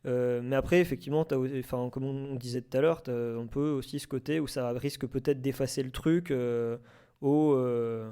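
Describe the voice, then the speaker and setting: A man's voice: mid-range voice, steady tone, normal speed, warm tone, smooth. A man talking in a casual debate, with an informal, wordy, slangy way of speaking. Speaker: debate participant, amateur audio fiction maker.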